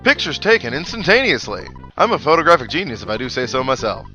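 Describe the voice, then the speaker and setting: A pompous, over-cheerful photographer from a video game, put on by a man, pitched up high and exclaiming grandly. Game music plays behind the voice. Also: big pitch swings, quick pace, pushed and bright, highs cut off. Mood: gleeful, showy.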